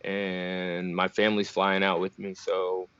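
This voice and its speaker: Relaxed, low-voiced fighter on a call. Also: male voice, a little slow, clean tone, no room sound. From Virginia. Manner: relaxed, easygoing, laughs often. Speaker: bare-knuckle fighter, guest. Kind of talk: casual remote interview. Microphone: video call. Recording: muffled dry call audio.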